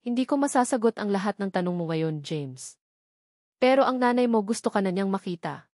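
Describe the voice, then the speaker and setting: A woman narrator plays a gentle, secretive go-between in an audio love drama. She is soft-spoken and earnest, at a middling pitch. The sound is dry and plain. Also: animated, brisk, dry recording, close to an everyday voice.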